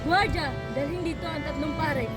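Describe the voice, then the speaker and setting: Amateur period drama: female actor as imperious male general, strikingly high voice, commanding delivery, music behind. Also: moderate pace, natural voice. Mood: stern, commanding. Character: stern male colonial general ordering priests executed.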